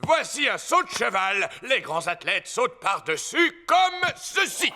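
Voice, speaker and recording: A hearty old grandpa rabbit in a cartoon, bragging and booming in a high, bouncy voice that swings all over. Loud music plays under his lines. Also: very animated, measured pace, vocal fry, heavily acted. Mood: jolly. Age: older. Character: jolly old grandpa rabbit, boastful adventurer. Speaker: gym teacher, lighthouse keeper. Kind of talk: French cartoon dubbing. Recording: music bed under the dialogue.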